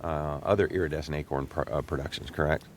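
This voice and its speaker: A man's voice: extremely low pitch, fairly even intonation, fast, a little hiss or hum. A man hosting a casual interview, asking questions in a conversational style. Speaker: interview host.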